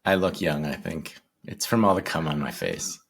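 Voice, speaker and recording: A man in his forties, a guest in a casual interview, talking in a shy, halting way with many ums and restarts. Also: rumbling low, animated, creaky, slightly rough. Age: 40s.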